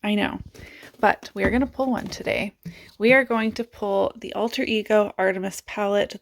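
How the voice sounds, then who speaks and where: A woman beauty vlogger chatting casually about her makeup, raspy as she loses her voice and thinks aloud in a self-mocking way. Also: a little high.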